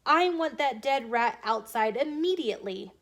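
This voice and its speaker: A woman's voice: fairly high pitch, expressive, normal speed, a bit bright. An American woman voicing a stern but kindly female schoolteacher in a storybook, addressing a class emphatically. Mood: put out.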